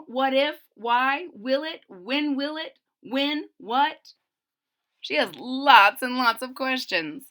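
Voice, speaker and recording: Animated woman reading a picture book. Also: high-pitched. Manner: expressive storyteller, adds plain-spoken asides for children. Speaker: read-aloud narrator. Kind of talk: children's picture book read-aloud.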